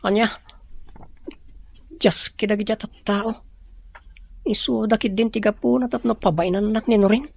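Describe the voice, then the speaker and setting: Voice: mid-range voice, fairly even intonation, moderate pace, gravelly, long pauses, no echo. An actor playing an ardent young male suitor, eager and apologetic, in a radio drama with an Ilocano Filipino accent. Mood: pleading. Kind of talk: Philippine radio drama acting.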